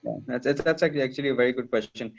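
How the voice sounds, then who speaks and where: A man's voice: mid-range voice, racing, vocal fry, muffled recording, a little hiss or hum. A man, a dentist and teacher, answering interview questions earnestly and step by step, with dry asides. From Pakistan. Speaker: dentist and teacher, podcast guest.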